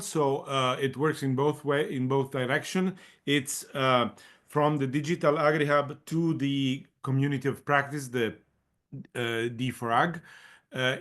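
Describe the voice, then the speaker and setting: A man's voice: mid-range voice, fairly bright tone. A man answering on an online panel, thinking aloud with frequent repetitions and restarts. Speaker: panelist leading a community of practice.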